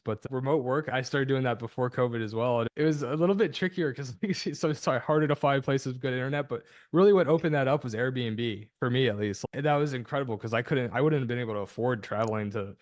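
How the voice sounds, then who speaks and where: A man's voice: medium pitch, fast, hardly any pauses, dry recording, clean studio sound. A man hosting an interview podcast, upbeat and chatty, restarting his sentences often. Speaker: podcast host.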